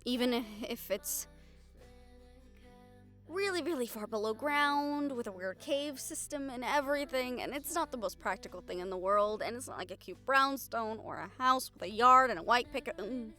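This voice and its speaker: A young woman playing an affectionate, teasing girlfriend in an intimate audio roleplay, speaking in a halting, tender and wry way. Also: on the high side, animated, pushed and bright, soft background music. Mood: earnest, tender. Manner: halting, tender, wryly teasing, casually sweary.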